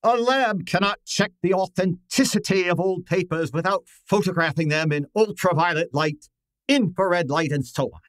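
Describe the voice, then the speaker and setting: A man playing a pompous, imperious middle-aged genius detective, precise and deliberate, in an old-time radio drama style. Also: high voice, no echo, natural voice. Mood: imperious, exasperated.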